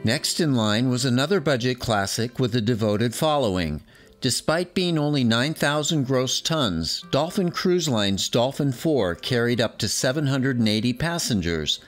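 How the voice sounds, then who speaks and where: Narrator, man, sixties, steady documentary read, faint music behind. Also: medium pitch. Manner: measured, informative, fond of the subject. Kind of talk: scripted voice-over narration.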